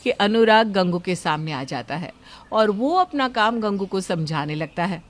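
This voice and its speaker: A woman's voice: medium pitch, lively intonation, normal speed. A woman reading scripted story narration as a storyteller.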